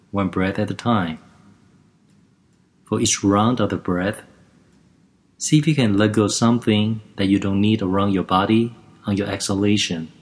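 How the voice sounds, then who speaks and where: A man leads a guided relaxation session in a calm, gentle voice. He talks very slowly and unhurriedly, leaving room between each instruction, with a fairly low voice.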